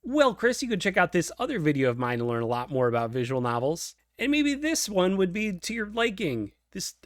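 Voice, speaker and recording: A man's voice: high voice, sing-song, a little fast, no echo, studio quality. A man hosting a casual interview, speaking conversationally as he puts questions and comments to a guest. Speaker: host, interviewer.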